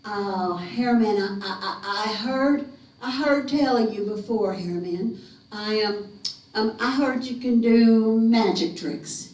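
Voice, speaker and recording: A woman voicing a frightened, quick-witted young boy in a folktale, stammering and pleading as he flatters. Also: a little high, voice pushed up. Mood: nervous flattery. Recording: echoey room, distant mic.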